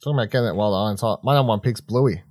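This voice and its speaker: A man with a fairly low voice banters as a podcast co-host. He is blunt and offhand and swears casually. The recording is dry and free of room sound. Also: racing, darkish tone, dry recording.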